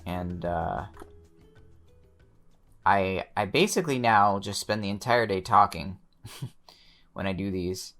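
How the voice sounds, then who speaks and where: A young man with a slightly hoarse voice, quietly talking through his gameplay, often pausing and restarting his sentences. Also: low voice, faint music behind. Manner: thoughtful, pauses and restarts sentences; soft-spoken, many fillers. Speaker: let's play host. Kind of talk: relaxed gameplay commentary.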